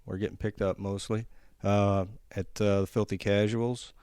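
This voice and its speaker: A man's voice: fairly low pitch, flat delivery, unhurried, warm tone, clean tone, no echo, clean studio sound. A man co-hosting a podcast, chatting informally and bluntly. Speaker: podcast co-host. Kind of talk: casual podcast chat.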